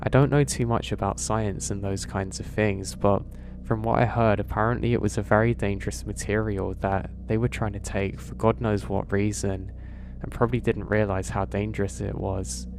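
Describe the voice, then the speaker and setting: A man narrating first-person horror stories in a steady, even storytelling read. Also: lowish voice, normal speed, creaky, background noise. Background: background music.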